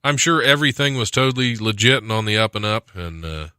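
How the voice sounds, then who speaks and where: A man's voice: fairly low pitch, lively intonation, fast talker, bright, forceful tone, clean tone, dry recording. An American man hosting a casual comedy podcast in a studio, joking and sarcastic as he chats. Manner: joking, sarcastic, often chuckling. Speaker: podcast host. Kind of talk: casual comedic chat about news.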